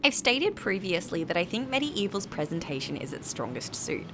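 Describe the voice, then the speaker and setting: A woman with a British accent reads a scripted video game review in an articulate, measured, critical manner. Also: on the low side, big pitch swings, music in the background. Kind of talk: scripted narration. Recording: faint background noise.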